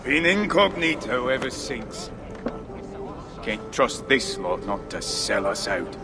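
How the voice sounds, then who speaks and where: A man's voice: mid-range voice, very animated, takes it slowly. A man playing a sour, shifty dwarf rogue in a video game, with a sardonic, grumbling delivery. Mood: grumbling, sly. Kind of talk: video game cinematic acting. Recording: music under the voice. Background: cinematic game music.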